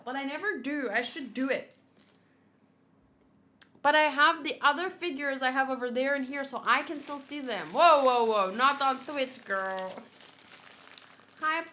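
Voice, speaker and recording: Bright chatty woman streamer. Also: high voice. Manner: chatty, giggly, reads out chat comments. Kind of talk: casual livestream chat while unboxing.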